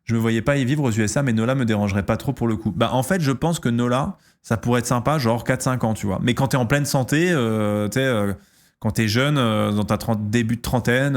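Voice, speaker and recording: A man in his thirties from France, chatting casually on a stream, answering questions and pausing often with fillers and restarts. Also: medium pitch. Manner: hesitant, lots of fillers and restarts.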